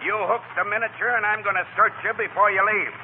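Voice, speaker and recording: An actor playing a stern, agitated guard in a 1940s radio comedy. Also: male voice, high voice, fairly even intonation, fast talker, natural voice. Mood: urgent, stern. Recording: tinny 1940 radio broadcast, faint noise.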